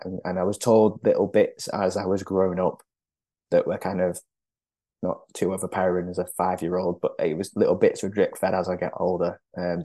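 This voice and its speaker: A man in his thirties, born in Peru and raised in England, thoughtfully recalling his past as a podcast guest with many pauses and restarts. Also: low-pitched, warm tone, slightly rough, no echo. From born in Peru, raised in northern England. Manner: thoughtful, hesitant, frequent restarts and ums. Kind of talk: conversational interview.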